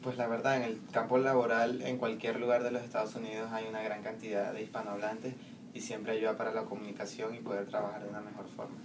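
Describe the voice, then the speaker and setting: A man's voice: on the low side, monotone, fast. A young man answering interview questions steadily, filmed in a small classroom from a camera across the room. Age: young adult. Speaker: student interviewee. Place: small classroom with plain walls. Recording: distant mic, clear room noise.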